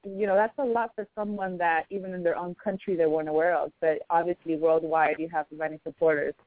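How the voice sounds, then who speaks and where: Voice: mid-range voice, steady tone, a little fast, no echo. Hesitant host on a tinny phone line. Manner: hesitant, many fillers and restarts. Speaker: interview host. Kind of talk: casual interview.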